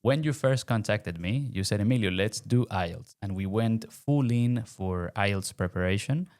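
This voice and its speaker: A man's voice: on the low side, a little slow, darkish tone. A man hosting a podcast as an English test teacher, asking his guest direct, friendly questions. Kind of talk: podcast interview.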